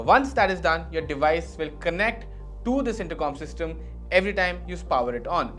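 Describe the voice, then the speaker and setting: A man giving an explanatory product review in a measured way, leaning on key words for emphasis. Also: a little high, a bit bright.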